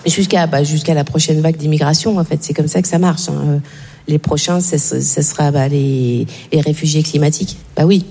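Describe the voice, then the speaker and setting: A woman's voice: low-pitched, steady tone, fairly quick, warm tone, husky. A woman performing a stand-up monologue in a sardonic, provocative, conversational way. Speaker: stand-up comedian. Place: stage of a comedy show. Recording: reverberant stage hall with background noise.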